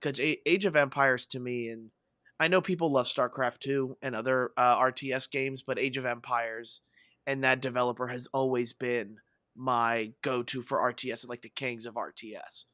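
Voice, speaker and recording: Soft-voiced hoarse male podcast host. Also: mid-range voice, steady tone, moderate pace, no room sound, studio quality. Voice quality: soft, breathy. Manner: rambling, enthusiastic, many fillers. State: hoarse, voice partly lost. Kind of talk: casual podcast chat.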